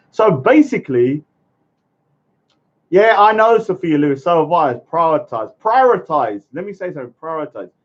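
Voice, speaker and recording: Combative ranting man, lively pitch. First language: English. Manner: blunt, combative, repeats "yeah?" and "let me say something". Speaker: speaker's corner vlogger. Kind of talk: ranting monologue. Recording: dull lo-fi sound.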